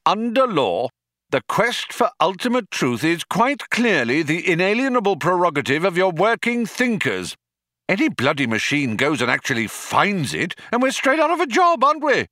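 A man's voice: sits high, wildly swooping pitch, normal speed, sharp tone, dry recording, clean studio sound, voice pushed up, exaggerated voice. A man voicing a pedantic, blustering older union philosopher with belligerent, indignant shop-steward talk.

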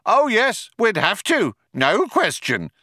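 Man doing a shrill, touchy cop with writerly pretensions. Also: big pitch swings, normal speed, clear, resonant tone, no room sound, clean studio sound, heavily acted. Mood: eager, shouting. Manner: shouting eagerly. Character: second male cop, touchy would-be novelist, mean mood.

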